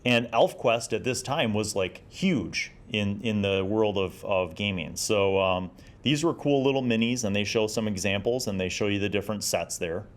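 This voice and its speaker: A man in his forties giving a casual, nostalgic review in a conversational, halting way. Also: fairly low pitch. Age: 40s. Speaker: host of a gaming magazine review series.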